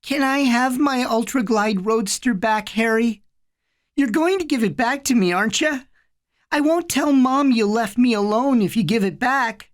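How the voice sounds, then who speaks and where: A woman's voice: normal speed, close to an everyday voice. A woman voicing a small, scared young boy who whines and sounds close to tears. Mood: whiny. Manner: whiny, tearful, high.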